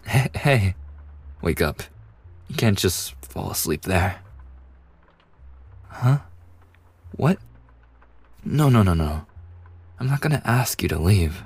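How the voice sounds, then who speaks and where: Low, husky, gentle young bartender played by a man. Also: big pitch swings, slow, long pauses, no room sound, full character voice. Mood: earnest, reassuring. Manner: gentle, reassuring, slightly bashful. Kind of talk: intimate ASMR roleplay acting.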